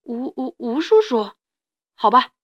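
A big male Tyrannosaurus from a children's storybook, acted out by a woman. He speaks bluntly and haltingly, a hungry, gruff loner whose kindness shows through. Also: a little high, big pitch swings, a bit bright, lo-fi sound, full character voice.

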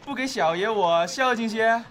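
Sneering, high-ish young male bully acted by a man. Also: normal speed, fairly bright tone, a little hiss or hum. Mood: mocking. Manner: taunting, swaggering. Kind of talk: amateur radio drama acting.

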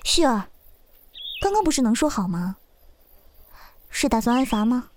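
A woman playing a gentle, wistful young mother in a Chinese period audio drama, speaking softly and tenderly. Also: fairly high pitch, very animated, slight background noise. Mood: mildly stern. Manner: tender, soft, unhurried. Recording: background music under speech.

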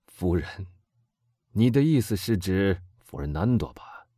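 A man playing a cold, vengeful middle-aged nobleman who speaks with calm, bitter irony. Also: low-pitched, moderate pace, dry recording, studio quality.

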